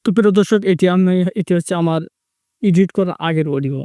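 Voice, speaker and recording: A man with a high voice walks viewers through a tutorial step by step. His delivery is fast and repetitive in its instructions, and the recording is dry and close. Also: warm tone, smooth, dry recording. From Bangladesh. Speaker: tech tutorial host. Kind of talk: step-by-step screen tutorial narration.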